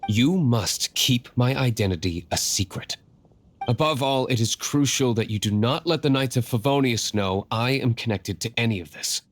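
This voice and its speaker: A man's voice: medium pitch, moderate pace, slight background noise, lightly acted. An actor playing a brooding, aloof young nobleman and secret vigilante in a video game, formal and curt. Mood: stern.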